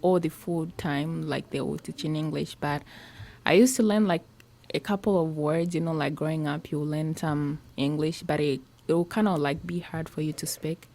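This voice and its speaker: A teenage girl from Congo, a high school student, telling her own story in an interview, hesitant and full of "like" fillers. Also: fairly even intonation, moderate pace, mellow. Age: teens. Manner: hesitant, with frequent "like" fillers and restarts.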